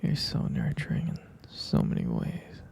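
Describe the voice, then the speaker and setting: A man playing a devoted, soothing expectant father in an intimate ASMR roleplay, murmuring affectionately in a soft, breathy, husky whisper. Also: close to an everyday voice. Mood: tender, reassuring. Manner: slow, murmuring, reassuring, affectionate.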